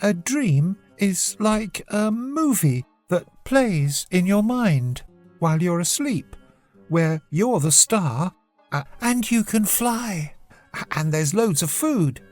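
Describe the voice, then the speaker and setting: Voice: medium pitch, expressive, takes it slowly, darkish tone, faint music behind, pitched above the performer's own voice. A storybook narrator voicing a curious, chatty seven-year-old girl who speaks in an earnest, inquisitive way. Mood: chatty, wondering.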